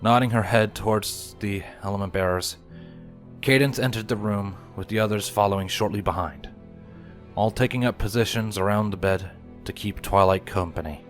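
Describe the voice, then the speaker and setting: A man's voice: on the low side, normal speed, faint music behind. A man giving a scripted narration of a story as its reader. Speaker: fan fiction narrator and channel host.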